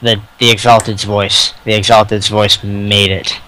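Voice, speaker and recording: A man co-hosting a book review show, chatting casually and haltingly, repeating words for emphasis. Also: fairly low pitch, level tone, background noise. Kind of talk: casual chat.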